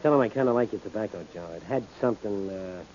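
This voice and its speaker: An actor playing a cocky, menacing gangster in a 1940s radio drama, talking tough, smug and slangy. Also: male voice, on the low side, fast talker, close to an everyday voice. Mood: falsely friendly. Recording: muffled old radio recording.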